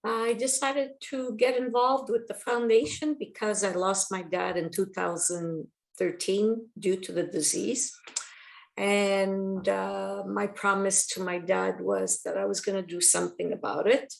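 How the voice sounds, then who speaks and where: A woman guest telling a personal story in a lively way, acting out quoted dialogue. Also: mid-range voice, measured pace, creaky. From Montreal, Canada. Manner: animated, acts out quoted dialogue. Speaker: guest, foundation chapter coordinator.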